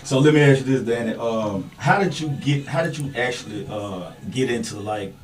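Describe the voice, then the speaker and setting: A man's voice: medium pitch, moderate pace. A man hosting a podcast in casual, slangy, affirming chat, peppering his talk with "man". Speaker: podcast host. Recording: voice distant from the mic.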